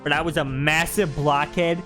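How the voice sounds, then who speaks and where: A man's voice: fairly high pitch, steady tone, moderate pace, over music. A man giving casual, playful live commentary on a video game. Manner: playful, hams up cutscene dialogue.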